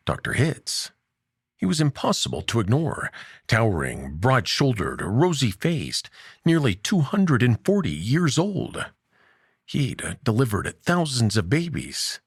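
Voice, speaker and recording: A male storyteller reads dramatic fiction in a gravelly, fry-laden voice. His pitch moves very widely from line to line, and the recording is dry, with measured pauses for weight. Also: no room sound. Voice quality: gravelly, husky. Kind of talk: dramatic scripted fiction narration.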